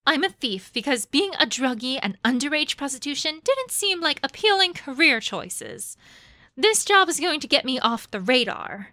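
A woman's voice: high voice, sing-song, moderate pace, pushed and bright, dry recording, studio quality, heavily acted. A woman playing a cynical young female thief in a fan audio drama, speaking in a bitter, world-weary way.

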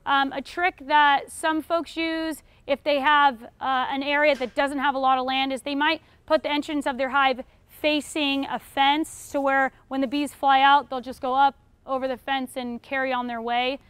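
High-pitched young American woman teaching a class. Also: little pitch movement, normal speed, a bit bright, dry recording. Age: young adult. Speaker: beekeeping class instructor. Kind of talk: informal lecture answering audience questions. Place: retail store aisle in front of a seated audience.

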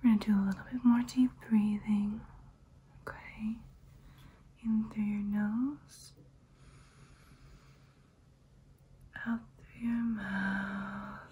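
A young woman voicing a soothing, nurturing vampire in a breathy ASMR whisper, gentle and reassuring. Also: medium pitch, slight background noise, natural voice. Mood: calm, guiding. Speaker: ASMR roleplay host. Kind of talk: whispered ASMR personal attention roleplay.

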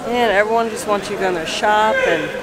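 A woman's voice: medium pitch, fast, heavy background noise. A woman in her thirties chatting to a handheld camera at arm's length on busy city streets, upbeat and full of "like" fillers. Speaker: travel vlogger. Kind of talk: casual travel vlog chat to camera. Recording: loud crowd and music behind. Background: crowd chatter and music.

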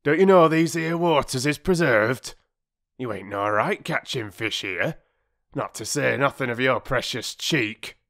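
Burly grumpy bargeman, put on by a male narrator: gravelly, slow, swooping growls, dry close sound. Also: medium pitch, very animated, a bit bright, dry recording, voice pushed up, exaggerated voice. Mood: scolding. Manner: growling, cross, rough working-class speech. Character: gruff burly middle-aged male canal bargeman. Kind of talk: audiobook character voice.

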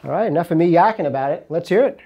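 Higher-pitched man presenting a tutorial. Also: expressive, very fast, dark tone, husky. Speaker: audio engineering video host and instructor. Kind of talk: instructional presentation to camera. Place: recording studio rooms, indoors.

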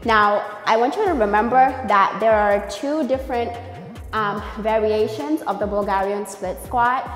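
Slow-talking coaching woman in echoey gym. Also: medium pitch. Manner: upbeat and coaching, with frequent "okay?" and "right?" check-ins. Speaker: fitness coach. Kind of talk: workout tutorial. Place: large gym room with glass walls. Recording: echoey room, distant mic, faint music.

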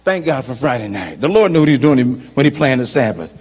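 A middle-aged man preaching a sermon as pastor, animated and shifting between loud and soft delivery, on a handheld mic in a large church. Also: medium pitch, quick pace, breathy edge. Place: large church sanctuary, indoors. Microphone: handheld microphone. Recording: long church reverb.

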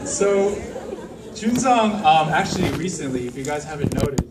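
A man hosting a fan event, making loud, chatty announcements with apologetic asides in a large cinema hall. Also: a little high, lively intonation, normal speed. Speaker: event host. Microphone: handheld mic, filmed from far seats. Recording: distant voice, crowd and noise from far off.

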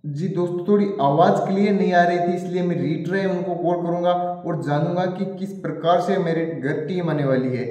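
A man's voice: high voice, a little fast, few pauses, warm tone. A man, talking salesily to viewers and often repeating himself. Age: 20s. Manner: repetitive, keeps addressing viewers as friends. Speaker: video host. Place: small bare room indoors. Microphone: camera or phone set in front of him. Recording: very echoey room, distant microphone.

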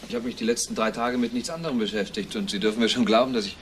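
A man acts out an earnest police investigator in a crime radio drama. His voice sits fairly low as he explains carefully, pausing to find words. There is faint noise in the recording. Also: steady tone, close to an everyday voice. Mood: reporting. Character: earnest male police investigator, thorough and matter-of-fact. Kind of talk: German crime radio play acting.

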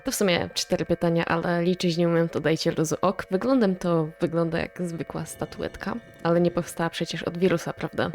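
A woman giving casual reaction commentary, reading text aloud and thinking out loud in a chatty, inquisitive way. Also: fairly low pitch, gravelly. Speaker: video creator reacting to analog horror.

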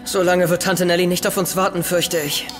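Reverberant, high-voiced young nephew. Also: male voice, little pitch movement, brisk, fairly bright tone. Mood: dutiful. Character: anxious, obedient young nephew. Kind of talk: German audio drama acting. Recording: long reverb, faint music behind.

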